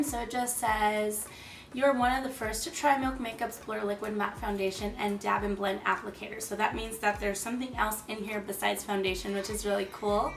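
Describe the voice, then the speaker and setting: A young woman in her twenties with a hoarse, froggy voice, chatting enthusiastically through a makeup product review. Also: mid-range voice, recorded from a distance. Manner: chatty and enthusiastic, many fillers. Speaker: beauty vlogger. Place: small room, plain wall. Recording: echoey room, slightly off-mic.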